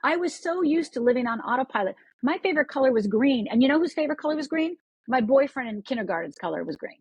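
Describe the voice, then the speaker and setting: A woman's voice: high voice, animated, quick pace, slightly rough, no echo, highs cut off. A woman from Upper Michigan, a podcast guest, talking with energy and often repeating words as she restarts sentences. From Upper Michigan, USA. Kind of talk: podcast interview.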